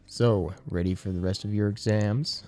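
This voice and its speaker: A man's voice: fairly low pitch, fairly even intonation, moderate pace, warm tone, soft background music, pitched above the performer's own voice, natural voice. A man voicing a cocky young male student who teases his friend with mocking, jocular banter. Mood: teasing.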